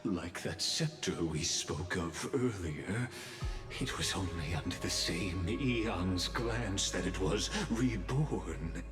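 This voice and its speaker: An actor playing a composed, calculating male schemer in a video game, speaking in a formal, pompous, measured way. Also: deep voice, animated. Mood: smug, ominous. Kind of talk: scripted game dialogue, theatrical monologue. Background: game music.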